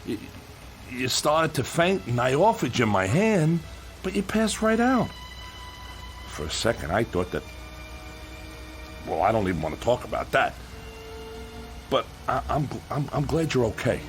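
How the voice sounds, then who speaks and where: An actor playing a gruff but kind-hearted demolition contractor in a radio drama, with an American accent and colloquial, earnest, insistent working-man speech. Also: mid-range voice, very animated, unhurried, pauses often, soft background music. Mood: apologetic, concerned.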